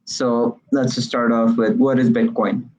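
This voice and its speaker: A man's voice: mid-range voice, level tone, measured pace, a little mellow, a little hiss or hum, rough recording. A man hosting an interview, asking questions hesitantly, with many likes and restarts. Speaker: host. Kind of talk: casual interview.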